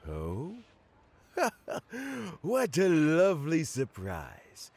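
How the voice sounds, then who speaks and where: An actor playing a frail, kindly old statesman who speaks slowly, formally and with courtesy, in a game cutscene. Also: huge pitch swings, very noisy, full character voice. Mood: courteous. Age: older. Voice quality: gravelly and low. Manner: slow, measured, courteous. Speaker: retiring elder statesman. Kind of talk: video game cutscene dialogue.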